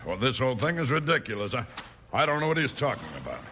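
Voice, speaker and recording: An actor playing a devious, blustering cattle-dealing villain in a 1950s radio western, forceful and indignant. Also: male voice, mid-range voice, sing-song, quick pace. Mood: indignant, defensive. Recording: lightly noisy 1950s radio recording.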